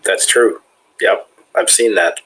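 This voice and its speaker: A man's voice: fairly low pitch, big pitch swings, takes it slowly, sharp tone, husky. A man on a casual online panel chat who talks hesitantly, stumbling over his words.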